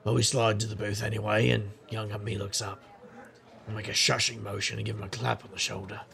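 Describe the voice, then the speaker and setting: Male, middle-aged private eye, acted: world-weary, wry, deep fry and gravel, audio drama noir. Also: little pitch movement, fairly quick, pushed and bright, heavily acted. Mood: wistful, wry. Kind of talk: audio drama narration and dialogue.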